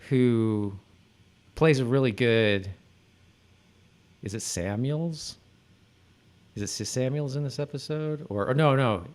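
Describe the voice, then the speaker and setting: A man co-hosting a podcast in casual chat, rambling and often correcting himself mid-sentence. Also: mid-range voice. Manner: rambling, halting, self-correcting. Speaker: podcast co-host. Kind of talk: casual chat about a TV episode.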